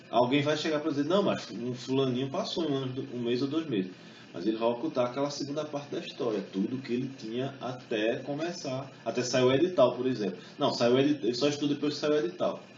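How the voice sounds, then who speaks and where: A young man gives a relaxed, conversational talk, dropping fillers and tag questions as he goes. He is caught from a distance in a big echoing hall, and the sound is muffled and dull with a faint hiss underneath. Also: medium pitch. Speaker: speaker giving a talk. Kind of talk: public talk with exam study tips. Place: event hall.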